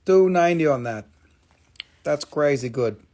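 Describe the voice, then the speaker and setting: A middle-aged man hosting a live sales stream, talking casually and excitedly, exclaiming and swearing as he shows stones at a table indoors. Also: on the high side, a little slow. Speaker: live-stream gem seller.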